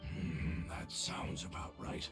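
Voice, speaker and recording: A man voicing a weary, dying guardian creature in a video game, solemn and formal, with a breathy rasp. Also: slow pace, reverberant, close to an everyday voice. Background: game music.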